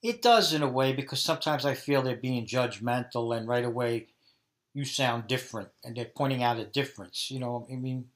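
A man's voice: medium pitch, a little fast. A man in his fifties with a Brooklyn New York accent, giving answers in an interview. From Brooklyn, New York, USA. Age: 50s. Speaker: interviewee, dialect research subject.

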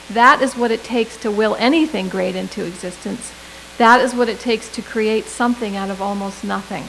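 A middle-aged woman delivering a prepared commencement speech at a podium microphone, with measured delivery and deliberate emphasis. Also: on the high side, a bit bright, a little hiss or hum, low-quality recording. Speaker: commencement speaker.

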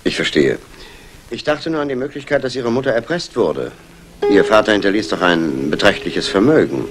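An actor playing a polite, probing police inspector in a 1960s German radio crime play, formal and questioning. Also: male voice, fairly low pitch, animated, moderate pace, over music, close to an everyday voice. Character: courteous, probing male Scotland Yard police inspector. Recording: noisy old radio play recording.

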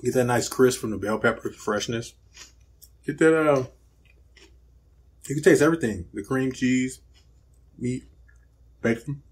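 A man in his thirties hosting a home cooking channel, talking through a recipe in a relaxed, rambling way with lots of fillers. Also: mid-range voice, a little slow, pauses often, slightly rough. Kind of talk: casual cooking voice-over and taste test. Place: indoor home set with plain backdrop.

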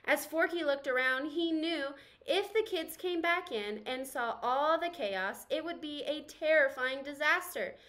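Bright, high-voiced young woman reading aloud. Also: a little slow, hardly any pauses. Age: young adult. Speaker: teacher reading a storybook aloud. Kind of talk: expressive children's read-aloud narration.

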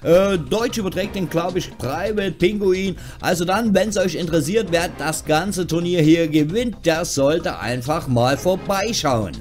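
A man with a slightly raised voice hosts a gaming news show. He talks in a chatty, informal way with wry asides. Soft music plays behind him. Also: fairly high pitch, unhurried, hardly any pauses. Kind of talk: casual, tongue-in-cheek news commentary. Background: background music.

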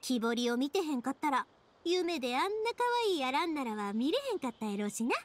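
A woman playing a cheerful, warm-hearted young fireworks maker in an anime-style game, speaking expressive, friendly Kansai dialect. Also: sits high, fast. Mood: warm, earnest. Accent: Kansai Japanese. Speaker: voice actor in a video game.

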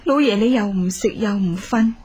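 An actress plays the anxious, gentle wife of a wealthy man in a radio play. Her voice is medium in pitch and breathy, with a Hong Kong Cantonese accent. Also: moderate pace, lightly acted. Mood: worried, soothing. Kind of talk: radio drama acting.

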